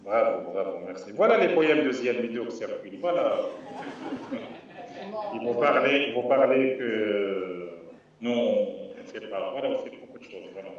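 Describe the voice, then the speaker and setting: Palestinian man speaking over an echoey call. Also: lowish voice. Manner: halting, many restarts and fillers, earnest. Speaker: guest speaker on a live call. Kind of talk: informal live talk. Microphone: remote video call. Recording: distant, echoey, dull lo-fi call audio with faint noise.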